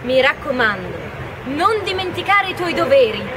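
A woman voicing a gentle, wise male sprite with a solemn, admonishing manner in live storytelling. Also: high-pitched, very animated, moderate pace. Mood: solemn, kindly. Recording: distant mic, loud urban street noise.

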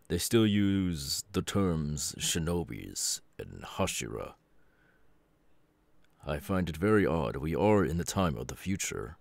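A stern middle-aged superhero father, acted out by a man for an ASMR roleplay. He is brooding yet caring, with a bottomless low voice that crackles with fry. He talks slowly and weightily, with halting pauses. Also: a little mellow, dry recording, heavily acted. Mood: calm, advising. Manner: slow, deliberate, halting delivery with sighs. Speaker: voice actor.

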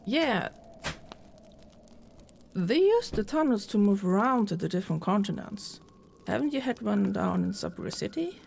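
A woman playing a dry, bossy, practical adventurer in a comedic audio drama, deadpan and matter-of-fact. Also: medium pitch, unhurried, gravelly, pauses often, slight background noise.

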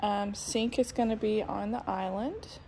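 A woman's voice: medium pitch, fairly even intonation, measured pace, gravelly, mellow, a little hiss or hum. A woman, chatting casually and thinking aloud with plenty of ums while she walks through a house being built, filmed on a phone. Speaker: real estate agent. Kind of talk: casual walkthrough video. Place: house under construction, indoors and in the yard. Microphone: phone held by the speaker.

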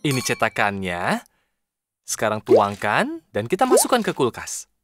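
A man's voice: mid-range voice, wildly swooping pitch, moderate pace, over music. An actor playing a patient, encouraging male helper in an Indonesian children's cartoon, instructing and reassuring. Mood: instructive.

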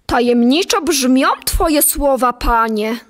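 A woman playing a respectful young stable boy in an audio play, with a hushed, deliberate manner. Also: high-pitched. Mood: awed. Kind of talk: dramatic audio play acting.

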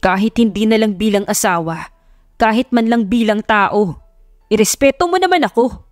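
A woman's voice: medium pitch, lively intonation, moderate pace, no room sound, studio quality, lightly acted. A woman voicing a hurt, rejected husband in an audio love story, pleading and emotional. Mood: wounded, pleading.

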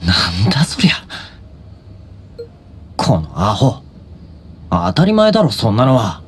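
Brash teenage boy hero, swinging pitch. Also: wildly swooping pitch, takes it slowly, full character voice. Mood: relieved, gruff. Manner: rough, blunt boyish speech. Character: hot-headed teenage schoolboy hero, protective and brash. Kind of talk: Japanese anime-style game voice acting.

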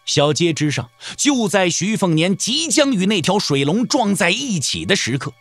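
Guy narrating a wuxia audio drama, lively dramatic storytelling, dry studio sound, music behind. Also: high voice, normal speed, slightly rough, dry recording. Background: background music.

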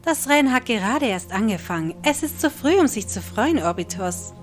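Lady as a calm goddess, highish voice, lots of melody, audio drama. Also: normal speed, faint music behind. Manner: unruffled. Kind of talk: German audio drama acting.

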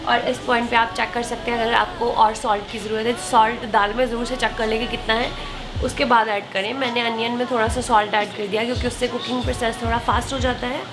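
A woman's voice: fairly high pitch, flat delivery, fast talker, over music. A woman, chatting casually and instructively as she narrates a recipe, switching in English words. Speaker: cooking vlog host. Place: home kitchen, indoors.

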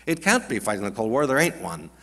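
A man's voice: mid-range voice, big pitch swings, fast talker, vocal fry, bright, forceful tone. An older man, a scholar, giving an emphatic, colloquial speech at a podium in a large auditorium. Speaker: panel speaker, scholar. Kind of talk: conference speech. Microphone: podium microphone. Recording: long hall reverb.

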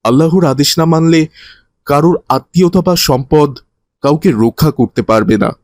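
A man's voice: on the high side, moderate pace, a little mellow, dry recording, natural voice. A man playing a kindly, didactic teacher who tells moral religious stories to children in a cartoon. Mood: earnest, instructive. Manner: didactic, earnest.

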